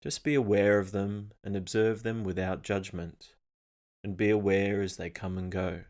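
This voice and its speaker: A man leading a scripted guided meditation in a calm, measured, instructional manner. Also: lowish voice.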